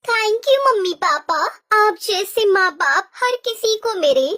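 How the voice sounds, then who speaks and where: A woman's voice: extremely high pitch, a bit bright, exaggerated voice. A woman playing a devoted, earnest young girl bird in a children's cartoon, with a pleading way of talking. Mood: grateful, earnest. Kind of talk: Hindi kids' cartoon voice acting.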